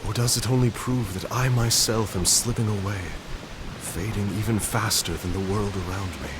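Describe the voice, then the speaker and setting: An actor playing an ailing young male composer in a game cutscene, speaking softly and reflectively. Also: lowish voice, fairly even intonation, moderate pace, lightly acted. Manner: soft, reflective, searching delivery. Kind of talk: video game cutscene dialogue. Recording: hissy game audio capture.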